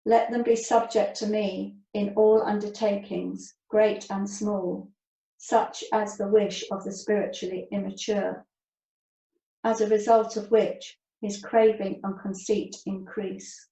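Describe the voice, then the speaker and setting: A woman with a soft-toned, dark voice reads scripture at a very slow, measured pace. She sets out each phrase deliberately. The video-call sound is echoey and somewhat dull. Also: medium pitch, little pitch movement, gravelly. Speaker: reader, member of a Buddhist community. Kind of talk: reading scripture aloud, measured recitation.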